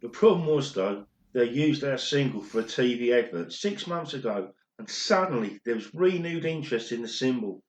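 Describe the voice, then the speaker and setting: A man's voice: mid-range voice, lively intonation, normal speed, few pauses, lightly acted. A man playing an older, regretful former pop drummer who confesses an old secret in an amateur radio play. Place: recorded alone at home, indoors. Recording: roomy home recording.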